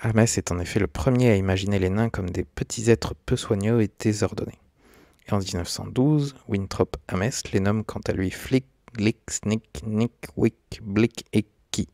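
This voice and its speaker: A man's voice: on the low side, a little slow, a little mellow, no echo, studio quality. A man giving scripted, documentary-style narration as a video essayist.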